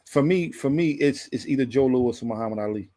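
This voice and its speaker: A man's voice: mid-range voice, brisk, dark tone. A man in a casual sports debate, slangy and often repeating himself. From Brooklyn, New York. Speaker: boxing show panelist.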